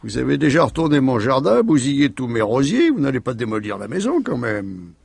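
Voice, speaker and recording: A sick, sardonic husband in a 1950s-style radio whodunit. His middle-aged voice is husky and medium-pitched, with theatrical, drawling irony. The sound is close and dry. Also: very animated, a little fast, no echo, heavily acted. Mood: mocking. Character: ailing, sardonic, bedridden husband suspected of murder. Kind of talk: 1950s French radio mystery acting.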